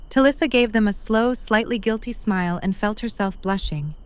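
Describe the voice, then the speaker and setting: A woman's voice: mid-range voice, normal speed, clean tone. A woman reading scripted third-person narration for an audio drama.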